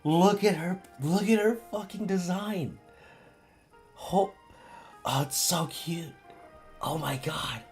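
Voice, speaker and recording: A woman live-streaming a game, reacting in an excitable, casual, foul-mouthed way. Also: on the low side, slow, long pauses, faint music behind.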